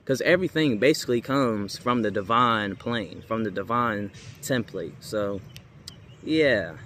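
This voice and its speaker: A man's voice: fairly low pitch, animated, a little slow, a bit bright. A young American man talking casually and off the cuff to viewers, in a slangy, rambling way with emphatic repetition. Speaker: spiritual video creator. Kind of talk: casual unscripted monologue to viewers. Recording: clearly noisy background.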